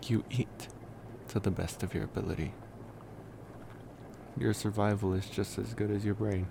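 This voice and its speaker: A man playing a reflective, gentle young college vampire in an ASMR roleplay, musing softly and intimately to the listener. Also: lowish voice, creaky. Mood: wistful, pondering. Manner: musing, rambling, with pauses and sighs. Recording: noticeable steady background noise.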